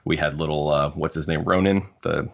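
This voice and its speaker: Low-voiced man hosting, dull recording. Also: steady tone, a little fast, vocal fry. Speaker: podcast host. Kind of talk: casual book discussion.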